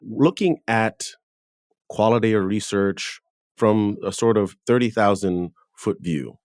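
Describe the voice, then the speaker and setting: A man with a low voice hosts an interview podcast. The sound is dry and close, and he moves between scripted introduction and questions for his guest. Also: slow pace, darkish tone, no room sound.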